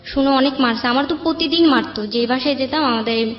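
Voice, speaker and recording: A Bangladeshi woman telling her personal life story as an interview guest, in halting, colloquial speech that often repeats itself. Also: high voice. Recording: echoey room, dull sound, faint music.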